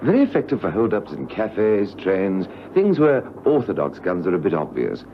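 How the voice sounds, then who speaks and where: A man's voice: sits low, very animated, normal speed. A man playing a suave, mock-genteel henchman assassin who threatens with arch politeness in a spy radio drama. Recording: muffled vintage radio recording, faint noise.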